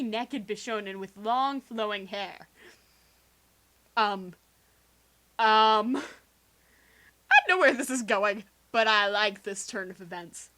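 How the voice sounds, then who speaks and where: A young woman doing a theatrical, sarcastic comic dramatic reading in slangy language, recorded quietly on a homemade game microphone rig. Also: a little high. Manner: theatrical, sarcastic, slangy, acts out quoted dialogue. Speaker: storyteller. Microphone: video game microphone with improvised pop filter.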